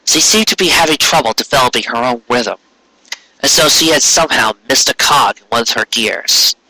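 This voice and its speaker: A man reading a story aloud as narrator, with expressive, earnest delivery. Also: mid-range voice, a little fast, dry recording, poor audio. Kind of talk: scripted fan fiction storytelling.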